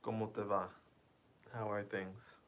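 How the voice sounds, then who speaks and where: Slow-talking man chatting with his stream. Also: lowish voice, level tone. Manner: reads chat aloud, self-translates between English and Spanish; laughs softly between lines. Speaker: livestream host. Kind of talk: casual chat with viewers.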